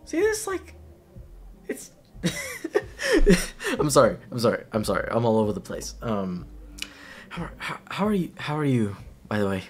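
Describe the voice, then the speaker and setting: A man acts out a caring young guy, the best friend at a house party, in an intimate roleplay audio. He talks slowly and haltingly, rambling along with very animated pitch swings. Music plays behind him. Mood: excited, rambling. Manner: halting, rambling, breathy chuckles. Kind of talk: ASMR roleplay, casual intimate dialogue.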